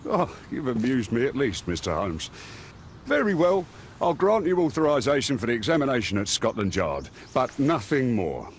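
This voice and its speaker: An actor playing a mocking, easily flustered police inspector with a British accent in a video game. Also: male voice, mid-range voice, big pitch swings, moderate pace, a little hiss or hum, lightly acted. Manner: mocking, dismissive. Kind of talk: video game cutscene acting.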